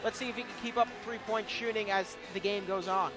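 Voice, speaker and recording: A man's voice: sits high, fairly even intonation, fast, music in the background, crowd noise. A man giving live color commentary and analysis on a basketball game for radio, from an arena. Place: indoor college basketball arena.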